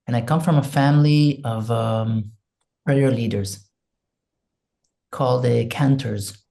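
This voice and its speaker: Israeli man, second-language English, slow and gravelly, dark mellow tone, meditative interview guest. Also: medium pitch. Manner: soft, hesitant, searching for words, meditative. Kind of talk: slow reflective interview talk with a spoken prayer.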